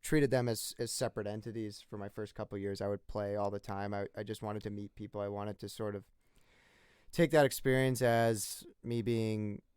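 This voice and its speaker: Twenties guy from the northeast us, low smooth voice, lots of "sort of" and restarts, casual podcast chat. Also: steady tone, normal speed, darkish tone, no room sound, clean studio sound. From northeastern United States. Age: 20s. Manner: rambling, many restarts and "sort of". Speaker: guest singer-songwriter.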